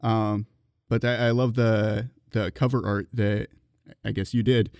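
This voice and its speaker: A man's voice: on the low side, flat delivery, measured pace, darkish tone, no room sound, clean studio sound. A man hosting an interview podcast in a casual, conversational way.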